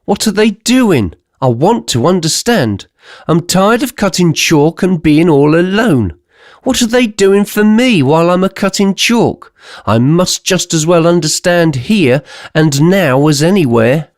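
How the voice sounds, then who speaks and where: A perplexed young giant from the countryside, put on by a man reading aloud, whose voice rises high and strained. His odd, unfamiliar speech comes out in plaintive, searching questions. The storybook reading is close and dry. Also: animated, measured pace, a little mellow, dry recording. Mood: pleading. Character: bewildered young male giant chalk cutter, rustic.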